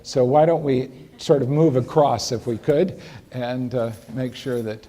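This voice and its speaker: A man's voice: medium pitch, fairly even intonation, measured pace, warm tone. An older man, a lecturer, telling a long anecdote to an audience with restarts and a dry humor. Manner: rambling storyteller with frequent restarts and dry humor. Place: lecture hall.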